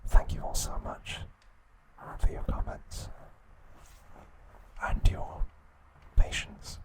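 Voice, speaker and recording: Whispering male ASMR narrator. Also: poor audio. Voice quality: soft unvoiced whisper. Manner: measured, soothing bedtime delivery. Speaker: ASMR channel host.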